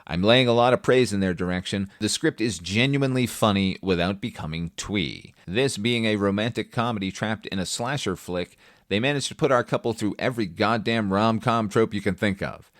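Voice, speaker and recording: A man's voice: fairly low pitch, normal speed, no room sound, studio quality. A man hosting a film review podcast, delivering a scripted but conversational monologue in an opinionated, wry, casually profane way.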